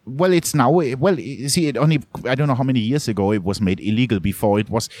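A middle-aged man from Germany, a journalist being interviewed, talking in a halting way with many restarts and repeated words. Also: mid-range voice, a little mellow, no echo. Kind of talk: candid conversational interview.

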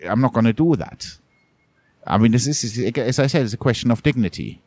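A middle-aged man from Germany, a journalist being interviewed, talking in a halting way with many restarts and repeated words. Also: medium pitch, lively intonation, darkish tone, no room sound. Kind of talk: candid conversational interview.

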